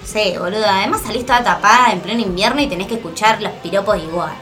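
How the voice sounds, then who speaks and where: An actress plays a young Argentine student in a radio play. Her voice is bright and forward, at an average female pitch, with faint music behind. She talks colloquially as a fed-up, supportive friend. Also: quick pace, close to an everyday voice. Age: young adult. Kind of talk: naturalistic radio drama dialogue.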